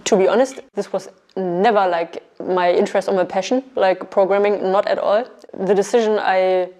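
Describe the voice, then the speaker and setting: A woman's voice: medium pitch, fairly even intonation, moderate pace, darkish tone. A young woman, a bootcamp student, talking candidly and a little tentatively about herself in a documentary interview. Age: young adult.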